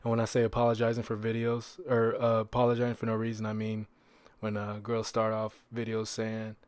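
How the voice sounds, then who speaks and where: A man's voice: lowish voice, completely flat delivery, brisk, a little mellow, dry recording. A man hosting a solo podcast in a casual, conversational way, repeating phrases for emphasis and posing rhetorical questions. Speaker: podcast host.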